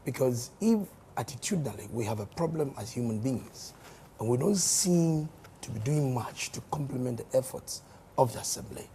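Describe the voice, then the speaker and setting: A hoarse man with a Ghanaian accent, a member of parliament speaking in a studio interview, measured and given to repeating words as he explains at length. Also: mid-range voice, expressive, warm tone, a little hiss or hum. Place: television studio.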